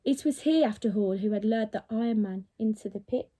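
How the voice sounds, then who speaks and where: A woman's voice: medium pitch, a little fast, mellow, low-quality recording. A woman reading a children's story expressively, with dramatic, emphatic delivery, like a teacher reading to pupils.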